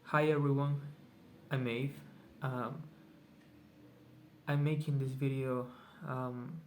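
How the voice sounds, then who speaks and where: A man whose first language is Spanish talks through a casual video journal in English at a crawl. He hesitates constantly, stopping to hunt for the right words. His voice sits at an ordinary middle pitch. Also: level tone, a little hiss or hum. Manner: hesitant, searching for English words, many pauses; very slow, halting speech. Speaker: vlogger.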